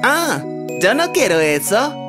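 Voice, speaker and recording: A woman's voice: a little high, big pitch swings, takes it slowly, music in the background. A woman voicing a small male green frog, friendly and earnest, in a children's storybook cartoon.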